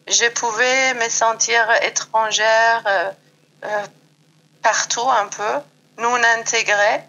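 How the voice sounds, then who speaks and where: Slow, hesitant woman with a Persian accent. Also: fairly high pitch, pushed and bright, lo-fi sound. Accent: foreign accent in French. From Iran. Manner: hesitant, many pauses and fillers. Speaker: guest. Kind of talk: slow reflective interview talk.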